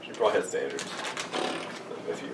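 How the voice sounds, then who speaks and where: A young man in casual joking banter on a podcast, crude and sardonic. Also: brisk. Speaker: podcast regular. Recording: roomy, distant mic, noisy.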